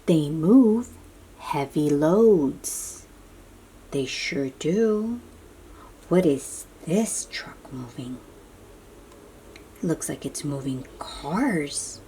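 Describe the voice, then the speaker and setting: Slow, low-voiced woman reading to children. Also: animated, dark tone, husky, rough recording. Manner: addresses young listeners with questions and simple explanations. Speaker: children's read-aloud host. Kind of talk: picture-book read-aloud for young children.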